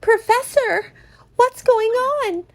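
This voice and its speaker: A woman puts on an eager young female creature sidekick for a game read-aloud. The voice sits high and peppy. She exclaims and questions everything with keen curiosity. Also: takes it slowly, exaggerated voice. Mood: excited, amazed.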